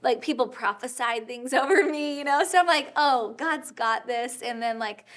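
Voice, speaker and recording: A woman in her thirties hosting a casual faith-based chat, upbeat and quick to laugh. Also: a little high, fairly bright tone. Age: 30s. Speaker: podcast host.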